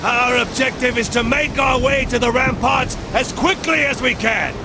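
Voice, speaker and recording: Fierce crusader commander shouting over battle noise. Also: male voice, very high voice, normal speed, creaky. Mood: stern, threatening. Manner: declamatory, commanding. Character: fierce, zealous crusader commander rallying his soldiers. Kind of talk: battle speech in video game cutscene. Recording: loud battle noise behind the voice.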